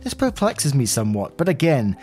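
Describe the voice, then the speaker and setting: Man, podcast narrator, mid-pitched, mellow tone, expressive read-aloud storytelling, faint music underneath. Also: brisk, slightly rough. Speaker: podcast host reading out online posts. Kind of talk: reading a written story aloud with brief asides.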